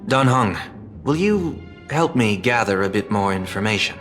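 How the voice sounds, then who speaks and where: An actor voicing a composed, courteous gentleman in a video game, speaking in a formal, measured, polite way. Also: lowish voice, animated, music in the background, natural voice. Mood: calm, courteous.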